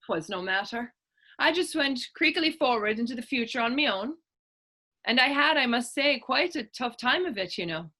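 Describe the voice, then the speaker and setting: Stage drama: woman as proud, tough Irish orphan girl, actress, higher-pitched, bright forceful delivery, lilting storyteller. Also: expressive, normal speed. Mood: stoic, reflective. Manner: storytelling in lilting old-fashioned Irish idiom. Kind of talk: stage readers theatre acting in dialect.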